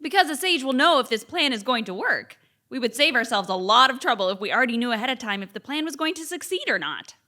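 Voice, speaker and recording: A woman's voice: sits high, sing-song, fast talker, sharp tone, exaggerated voice. A woman playing an earnest, fretful commoner who insists formally and stubbornly, in a comic stage audio play. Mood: earnest, insistent. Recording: live theatre stage recording.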